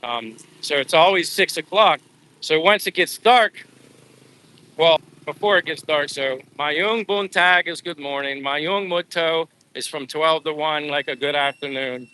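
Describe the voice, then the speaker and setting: A man's voice: fairly high pitch, unhurried, bright, forceful tone, clear, resonant tone, low-quality recording. A man hosting a livestream, chatting casually and ramblingly with his viewers in an informal, buddy-like way. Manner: informal, rambling, addresses viewers as bro and dudes. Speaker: livestream host. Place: outdoors, walking.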